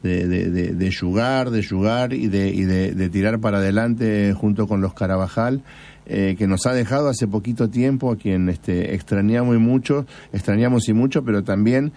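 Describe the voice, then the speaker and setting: A man with an Argentine Spanish accent hosting a radio interview, asking long, halting questions full of fillers. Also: on the low side, warm tone. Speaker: radio host.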